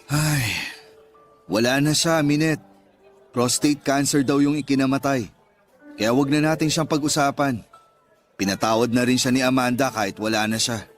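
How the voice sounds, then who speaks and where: A sincere young suitor, played by a man in a radio love drama. His mid-pitched voice is earnest and gently reassuring, with faint music underneath. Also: fairly even intonation, normal speed, pauses often, close to an everyday voice. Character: sincere, devoted young male suitor and confidant. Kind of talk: Tagalog radio drama acting. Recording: music behind the voice.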